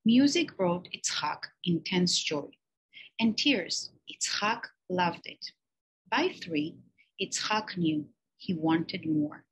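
A teacher reading a children's picture book aloud, slow and expressive with emphatic pauses. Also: female voice, fairly low pitch, husky, low-quality recording.

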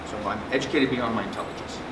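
A man's voice: medium pitch, very fast. A middle-aged man, a psychiatrist, giving a conversational conference talk with jokes and many fillers. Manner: conversational, joking, frequent fillers and restarts. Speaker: psychiatrist presenting at a symposium. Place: conference room with projector screen. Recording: echoey room, distant mic, air conditioning hum.

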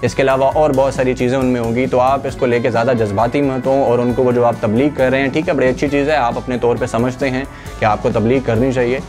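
A man's voice: medium pitch, fairly even intonation, quick pace, darkish tone. A young man from Pakistan with a Pakistani Urdu accent, presenting current-affairs commentary in a conversational way with fillers, talking straight to viewers. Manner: conversational, many fillers, addresses viewers directly. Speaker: news channel presenter. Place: studio in front of a green screen. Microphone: clip-on lapel mic. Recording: faint background music.

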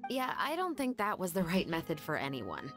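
A woman voicing a stoic young patrol captain in a game, speaking in a calm, measured, reserved way. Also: on the low side. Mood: solemn. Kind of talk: anime game dub. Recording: game audio under music.

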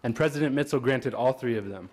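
A man's voice: medium pitch, fast talker, a little mellow, a little hiss or hum. A young man giving a commencement speech at a podium on a large auditorium stage, earnest with light jokes. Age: young adult. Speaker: graduating student speaker. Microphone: podium microphone.

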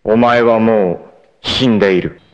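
An actor playing a proud, passionate, fiercely protective martial-arts warrior in an anime, with a dramatic, terse, masculine delivery. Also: male voice, lowish voice, fairly even intonation, a little slow, no echo, lo-fi sound, natural voice. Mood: grave, resolute. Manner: dramatic, terse, masculine, swinging from hushed to shouting.

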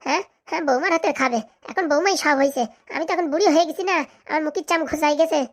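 Someone acting a shrill, bossy village wife. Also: very fast. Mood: peeved. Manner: scolding, sharp-tongued, dismissive. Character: bossy, scolding middle-aged village wife and mother. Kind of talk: comedy cartoon voice acting.

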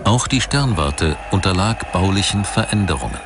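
A man delivering scripted documentary narration in a measured, formal storytelling manner. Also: low voice, expressive, noisy background. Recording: music bed under voice. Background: background music.

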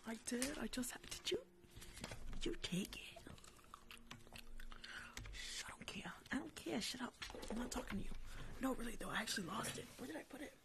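A young woman performs ASMR roleplay very close to the mic, mostly in whispers with a heavy creak. She plays a rude, careless dental worker and is sassy and chiding, repeating short phrases as she goes. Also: a little high, lively intonation, moderate pace, long pauses. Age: young adult. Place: small room at home, indoors. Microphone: large tabletop microphone, very close.

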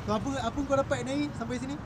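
A man's voice: very high voice, fairly even intonation, very fast. A man with a Malaysian accent chatting casually with friends for a vlog. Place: outdoors, crowded car park. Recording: very noisy outdoor vlog recording.

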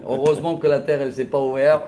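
A man in his forties, a rabbi teaching a lively Torah class in a classroom, telling stories with laughter and gestures into a close webcam. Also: mid-range voice, fast talker, noisy background. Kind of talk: lively storytelling lesson. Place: classroom with bookshelves, indoors. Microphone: laptop webcam at close range.

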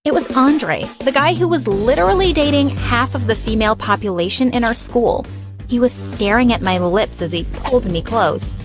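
A woman reading a first-person story in an expressive, melodramatic way. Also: fairly high pitch, normal speed. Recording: music behind the voice.

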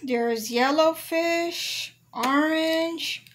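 Young woman, high sing-song voice, excited home toy demo recorded on a phone with audible background noise. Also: drawn-out words. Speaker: parent filming a toy demo. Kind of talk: playful commentary with a child. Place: living room at home. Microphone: handheld phone camera. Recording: noisy home phone video.

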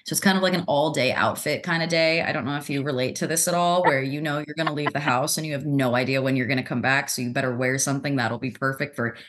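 A young woman from Costa Rica, a restaurant professional, telling a story as a podcast guest in a relaxed way, laughing easily. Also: a bass voice, fast talker, few pauses, a little hiss or hum. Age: young adult. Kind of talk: storytelling in conversation.

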